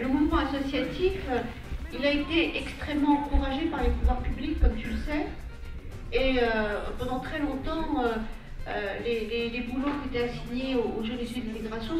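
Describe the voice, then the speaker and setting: A woman activist talking hesitantly, with many fillers and carefully chosen words. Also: a little high. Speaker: community activist. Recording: echoey room from a distance. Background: music.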